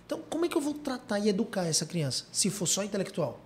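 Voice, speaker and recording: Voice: medium pitch, big pitch swings, a little mellow, a little hiss or hum. A podcast host with a Brazilian accent, telling a long example at length and asking rhetorical questions. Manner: rapid storytelling, rhetorical questions. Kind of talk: podcast discussion.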